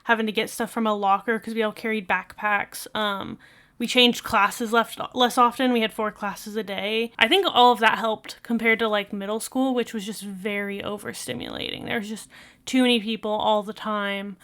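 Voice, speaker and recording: A woman's voice: medium pitch, fairly even intonation, moderate pace, fairly bright tone. A woman talking casually while reacting to a video, rambling and full of fillers. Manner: chatty and rambling, many fillers. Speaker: video creator.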